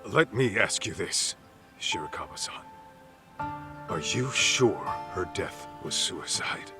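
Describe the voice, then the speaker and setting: Tough, low, expressive investigator. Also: male voice, slow pace, over music, heavily acted. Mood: probing, serious. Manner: blunt, confrontational. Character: tough, blunt middle-aged male investigator, hot-tempered. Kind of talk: dramatic video game cutscene acting.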